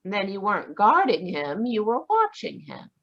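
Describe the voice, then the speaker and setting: A woman's voice: medium pitch, wildly swooping pitch, normal speed. A woman playing a tart, skeptical young woman. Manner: tart, sarcastic. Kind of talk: old-time radio play reading. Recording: dull lo-fi video call audio.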